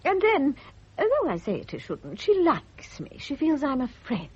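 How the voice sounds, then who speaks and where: A woman's voice: high voice, wildly swooping pitch, moderate pace, noisy background. A woman acting in a radio drama as a middle-aged private nurse, gossipy and self-satisfied, with a knowing, condescending way of insinuating things. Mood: smug, patronising. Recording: dull, muffled vintage radio recording.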